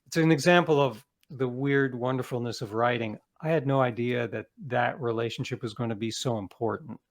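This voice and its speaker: A man's voice: medium pitch, fairly quick. A man with a mid-range voice speaks as an author being interviewed. He talks conversationally and reflectively, explaining his thoughts with everyday examples.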